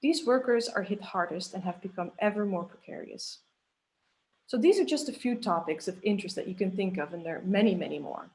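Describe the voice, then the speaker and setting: Woman webinar moderator, mid pitch, measured scripted reading. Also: animated, darkish tone. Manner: measured, listing questions. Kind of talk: reading a prepared introduction. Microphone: video call.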